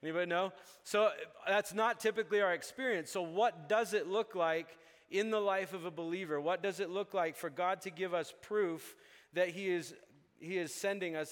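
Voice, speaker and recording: A man's voice: high-pitched, normal speed. A man who grew up in Uganda, preaching a sermon and acting out dialogue with little chuckles. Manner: animated, acts out dialogue with chuckles. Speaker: pastor. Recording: long hall reverb.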